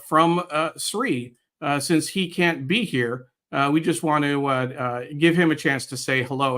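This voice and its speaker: Higher-voiced American man hosting a live show. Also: a bit bright. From United States, New York area. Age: middle-aged. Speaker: guest host of a live online show. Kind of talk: live show introduction, reading viewer comments.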